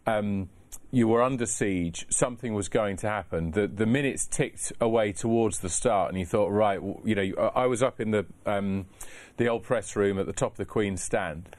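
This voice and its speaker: A man with a fairly low voice who talks reflectively and at length, restarting his sentences as he thinks them through. He is a racing journalist in a studio, recorded close and dry. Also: darkish tone, no echo. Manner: reflective, expansive with many restarts. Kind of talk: studio discussion. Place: radio studio.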